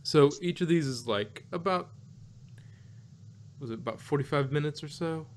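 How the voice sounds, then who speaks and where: A man's voice: on the high side, moderate pace. A man co-hosting a podcast, casually reviewing an audio drama with a rambling, laugh-filled way of talking. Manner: rambling, laughs often, restarts and hedges mid-thought.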